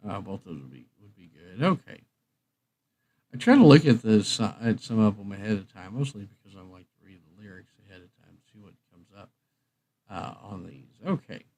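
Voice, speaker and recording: A man's voice: fairly low pitch, sing-song, moderate pace, a little mellow. A man hosting a casual livestream, rambling and thinking aloud in a hesitant way. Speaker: livestream host.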